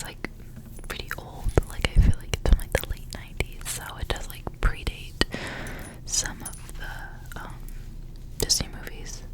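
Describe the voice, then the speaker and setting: Woman doing asmr storytime, all whispered and breathy, laid back and informal. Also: rough recording. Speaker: ASMR creator reading aloud. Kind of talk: whispered ASMR, reading a storybook with casual asides.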